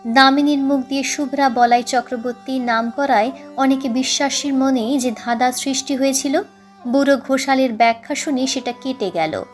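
High-voiced woman narrating a story. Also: moderate pace, faint music behind. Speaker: audiobook narrator. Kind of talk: dramatic scripted story narration.

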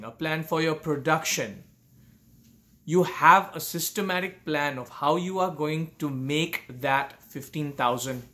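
A man's voice: a little high, bright, forceful tone. A man in his forties gives earnest business coaching straight to camera from a room at home, asking many rhetorical questions. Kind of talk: direct-to-camera advice talk. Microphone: camera facing him at close range.

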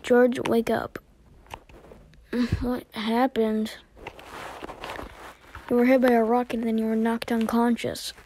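A woman performing dialogue as an amateur voice-over for a homemade animated story. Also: on the high side, slow, pauses often, a little hiss or hum.

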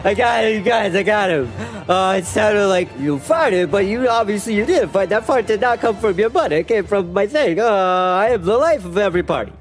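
A man's voice: sits high, expressive, normal speed, few pauses, faint music behind. A man voicing a childish, bumbling chubby mall cop in a comedy cartoon, rambling and stammering eagerly. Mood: gleeful, rambling. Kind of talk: animated comedy voice acting.

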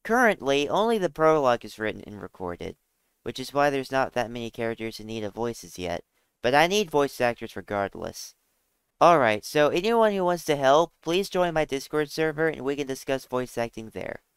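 A man's voice: medium pitch, clear, resonant tone, dry recording. A young man giving an informal, plain-spoken scripted announcement to his viewers as a channel host. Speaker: amateur filmmaker and channel host.

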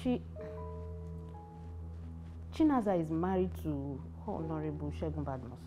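Older Nigerian mother, lively pitch. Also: unhurried. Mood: pleading, hesitant. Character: anxious, placating Nigerian grandmother and mother. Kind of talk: naturalistic film drama acting. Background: music.

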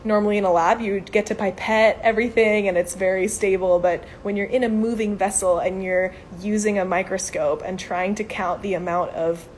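A woman's voice: medium pitch, steady tone, moderate pace, few pauses, darkish tone, slight background noise. Upbeat woman chatting on a phone live stream. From Venezuela. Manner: chatty, upbeat, many fillers like "um" and "like". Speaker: live stream host answering viewer questions. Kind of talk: casual live chat. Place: outdoors by a brick building. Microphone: phone camera at arm's length.

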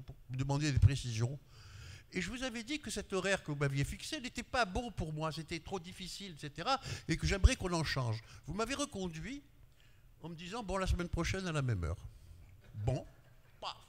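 A man's voice: sing-song, a little fast. An older man whose first language is Arabic, a pediatrician, telling a personal story at a panel tribute on a handheld mic. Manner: storytelling with shifts of pitch and loudness, gesturing. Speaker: speaker paying tribute, pediatrician. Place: large glass-walled conference hall. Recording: long reverb in a hall.

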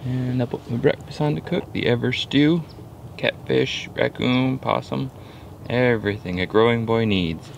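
A man's voice: mid-range voice, unhurried, vocal fry, noisy background. A man in his thirties narrating a survival vlog outdoors in the woods, with dry, joking humor. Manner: jokey, dry humor, chuckles at himself. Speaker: bushcraft vlogger. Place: outdoors in woodland camp. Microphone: camera mic outdoors.

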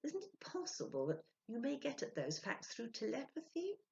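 A woman's voice: high-pitched, huge pitch swings, normal speed, gravelly, darkish tone, pitched above the performer's own voice, exaggerated voice. A woman in her sixties voicing a shrewd, doubtful female medium in a storybook reading, with a questioning manner. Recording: dull lo-fi home recording.

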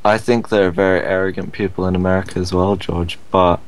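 A man with a very low voice that barely rises or falls talks over an online voice call. He argues his side and keeps pushing back at the others. Faint hiss sits under the computer mic. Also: level tone, a little fast. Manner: argumentative, pushes back on others. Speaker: Skype call participant. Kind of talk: casual group chat.

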